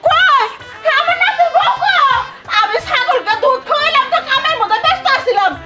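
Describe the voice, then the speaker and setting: A woman playing a loud, bossy, scatterbrained old grandmother in a comic short film, exclaiming and scolding in a rural Bangladeshi accent. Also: extremely high pitch, expressive, normal speed. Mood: excited. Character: loud, quick-tempered old village grandmother, scatterbrained and domineering. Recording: loud music behind.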